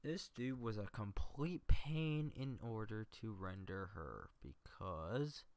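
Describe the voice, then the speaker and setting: A man's voice: on the low side, lively intonation, slow pace, darkish tone, clean tone, no echo. A man livestreaming casual commentary on his screen work, thinking aloud and muttering to himself with mild exasperation.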